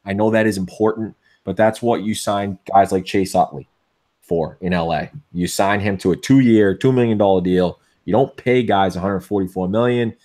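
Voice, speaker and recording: A man with a Boston accent co-hosting a casual sports podcast, opinionated and full of restarts, with a voice going hoarse. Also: on the low side, moderate pace, a little mellow. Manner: opinionated, many restarts and fillers. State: losing his voice. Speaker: podcast co-host.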